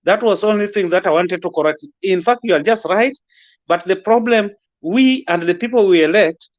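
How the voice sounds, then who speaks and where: A man with a Kenyan accent making his points haltingly, deliberately and politely in an impromptu political discussion. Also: high-pitched, highs cut off.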